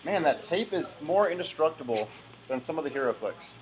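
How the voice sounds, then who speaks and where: A man's voice: on the high side, expressive, brisk, soft background music, low-quality recording. A young man chatting casually while opening packs, rambling with many fillers and restarts. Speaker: collectible game unboxer. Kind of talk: casual unboxing commentary.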